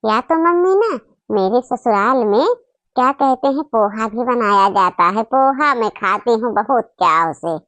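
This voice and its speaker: Woman acting as young married daughter, high voice, unhurried, snobbish, bragging, complaining, comedy cartoon. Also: lively intonation, a bit bright, no echo. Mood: boastful, disdainful.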